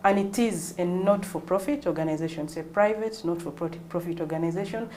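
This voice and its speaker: A woman in her early forties with a Ugandan accent, answering interview questions in a measured, explanatory way. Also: fairly low pitch, a little mellow, slight background noise. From northwestern Uganda. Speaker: interview guest, non-profit executive director.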